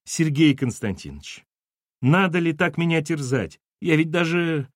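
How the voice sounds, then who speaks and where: A man's voice: mid-range voice, sing-song, moderate pace, a little mellow, husky, no room sound, clean studio sound. A man voicing a flustered older housekeeper woman, self-pitying, lamenting and breaking off in sobs, in an audiobook. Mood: sobbing. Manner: sobbing, lamenting, breaking off mid-sentence.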